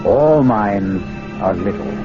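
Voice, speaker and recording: A man hosting a radio mystery with theatrical, measured, grave scripted narration. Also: lowish voice, expressive. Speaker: host. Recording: dull old radio broadcast. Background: prominent music.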